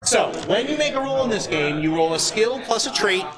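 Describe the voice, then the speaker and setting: A man running a live tabletop game as game master, explaining rules in halting phrases. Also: high voice, animated. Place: live convention event, indoors. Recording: loud crowd noise behind.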